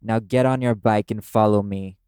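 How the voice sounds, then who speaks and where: A man's voice: fairly low pitch, steady tone, normal speed, dark tone, smooth, no echo, clean studio sound, natural voice. A man playing a brash, cynical male demon who speaks bluntly and scornfully in an audio roleplay. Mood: commanding, impatient. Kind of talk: dramatic audio roleplay addressed to the listener.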